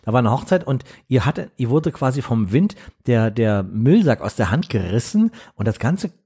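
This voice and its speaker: A man co-hosting a podcast, telling crude anecdotes in lively, casual chat. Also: medium pitch, moderate pace, breathy edge. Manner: lively, crude storytelling with restarts. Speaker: podcast co-host.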